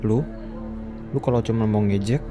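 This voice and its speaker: A man's voice: lowish voice, fairly even intonation, normal speed, natural voice. A man playing an impatient, hot-headed young adventurer in a Minecraft roleplay. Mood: defensive. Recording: music behind the voice.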